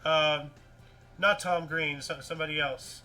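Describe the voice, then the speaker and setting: A man hosting a casual news show, reading articles and chatting in a rambling, repetitive, animated way. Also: on the high side, a little slow, soft background music. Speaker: host of a weird-news talk show.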